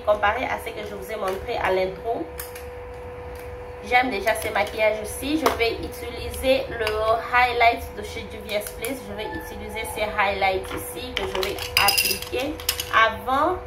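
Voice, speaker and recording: A woman's voice: fairly high pitch, unhurried. Stuffy-voiced woman doing makeup. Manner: chatty, repeats words, many fillers. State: has a cold. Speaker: beauty vlogger. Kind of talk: makeup tutorial. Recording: roomy, distant, noisy home recording.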